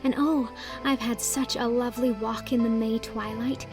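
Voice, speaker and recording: A woman's voice: on the high side, fairly even intonation, moderate pace, over music, natural voice. A woman voicing a dreamy, romantic young woman who gushes in a rapturous, lyrical way.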